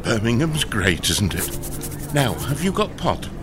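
Casting: male actor as clueless upbeat rescuer, average pitch, bouncy intonation, socially awkward, outdoor noise behind. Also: very animated, slow pace. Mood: blithely cheerful. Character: deluded, awkwardly cheerful man, would-be rescuer. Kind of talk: sci-fi audio comedy acting.

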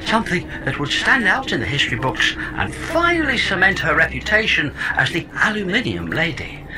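A man's voice: high-pitched, huge pitch swings, moderate pace, background noise. A man playing a chatty, smug robot pod sidekick in a comedy audio drama, explaining things with wry, wordy theatrical flourishes. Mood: sly explaining.

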